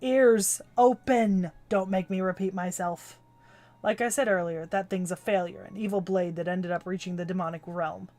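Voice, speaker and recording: Woman growling as a gruff old swordsmith. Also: medium pitch, steady tone, normal speed, darkish tone, natural voice. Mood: stern. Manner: curt, commanding delivery. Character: gruff older male swordsmith, blunt and proud. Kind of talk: reading a game's story aloud on stream.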